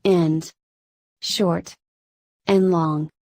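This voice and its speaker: A woman narrating a programming lesson in a flat, robotic-sounding voice, reading slowly and evenly from a script. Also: lowish voice, dry recording. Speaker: programming tutor.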